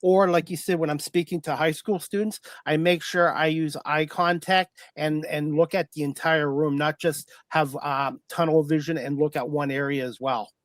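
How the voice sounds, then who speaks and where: Higher-voiced man, casual host. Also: fairly even intonation, moderate pace, few pauses, no echo, studio quality. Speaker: talk show host. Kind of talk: casual interview chat.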